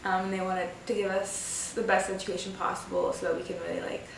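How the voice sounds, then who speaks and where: A woman's voice: mid-range voice, little pitch movement, fast, creaky, a little hiss or hum. A woman in her twenties from Egypt talking casually and informally in a documentary interview. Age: 20s. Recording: very echoey room, distant mic.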